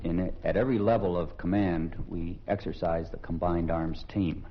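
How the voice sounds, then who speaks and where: A man, an army commanding officer, giving a formal, deliberate briefing on a vintage radio recording. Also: sits low, fairly even intonation, dull audio, a little hiss or hum. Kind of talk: explanatory briefing.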